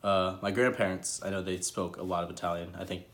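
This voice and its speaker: A man's voice: low voice, little pitch movement, fairly quick, fairly bright tone. A young man from New England answering interview questions in a laid-back way, often starting with "I mean".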